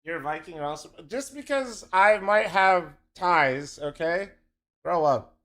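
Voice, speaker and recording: A man's voice: sits high, expressive, measured pace, sharp tone. Profane, teasing male streamer. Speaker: streamer co-streaming an esports match. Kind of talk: casual livestream chat.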